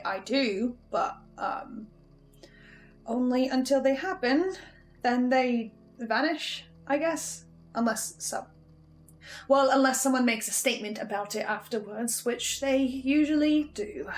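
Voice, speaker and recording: Woman acting an anxious, hesitant, high-voiced archivist. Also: lively intonation, slow pace. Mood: uneasy, halting. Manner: hesitant, stammering, self-interrupting. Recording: music behind the voice. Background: music.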